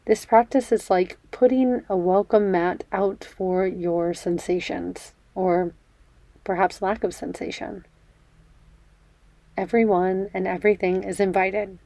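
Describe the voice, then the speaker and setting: A woman guides a meditation at a slow, unhurried pace. Her voice is soft-edged and mellow, with a gentle, soothing delivery. The sound is dry and close, with space left between phrases. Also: medium pitch, steady tone, no room sound. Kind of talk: guided meditation, body scan.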